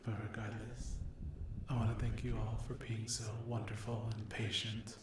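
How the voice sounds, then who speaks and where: A man speaking softly to viewers in an ASMR style, hesitant and halting, often slipping into a whisper. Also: on the low side, monotone. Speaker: ASMR creator addressing viewers. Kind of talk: soft-spoken ASMR update. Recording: echoey room with loud noise, clicks.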